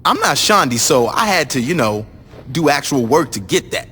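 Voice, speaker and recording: An actor playing a put-upon, mouthy gang lieutenant in a video game, with a complaining manner. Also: male voice, mid-range voice, moderate pace, husky, big-room sound, full character voice. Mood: peeved. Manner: animated, complaining. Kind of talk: video game cutscene acting.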